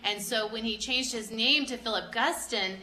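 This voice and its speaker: A woman with a bright voice leads a class through an art discussion. She is encouraging and asks plenty of questions. The recording is roomy and echoey, with some light noise behind her. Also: a little high, moderate pace. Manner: encouraging, prompting with questions, repeats answers back. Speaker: museum educator teaching children.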